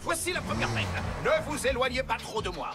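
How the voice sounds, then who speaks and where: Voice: medium pitch, wildly swooping pitch, brisk. An actor voicing a by-the-book, upbeat police vehicle officer, instructing youngsters in a theatrical, earnest way in a French cartoon dub. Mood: instructive. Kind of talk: French animated preschool series dubbing. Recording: music behind the voice.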